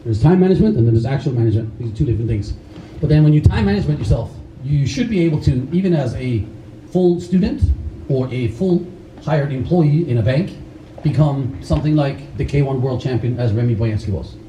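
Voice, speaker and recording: Guy from denmark rambling to a karate camp, really dark mellow voice, echoey far-off hall. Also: mid-range voice, expressive, brisk, a little hiss or hum. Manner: loose, rambling delivery with frequent fillers and restarts. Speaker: karate instructor speaking at a camp. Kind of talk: talk to a seated group. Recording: roomy hall sound from a distance.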